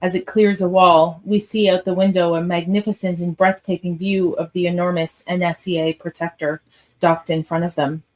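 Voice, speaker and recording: A woman's voice: fairly low pitch, little pitch movement, normal speed, a little mellow, rough recording. A woman reading stage directions as the narrator of a live radio theatre script read.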